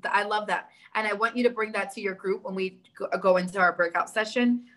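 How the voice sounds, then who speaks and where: Brisk woman hosting a video call. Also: mid-range voice, fairly even intonation, a bit bright. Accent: New York. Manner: brisk, organized, encouraging. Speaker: town hall host. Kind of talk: moderating a video call meeting. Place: indoor room with big windows. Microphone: laptop webcam on a video call.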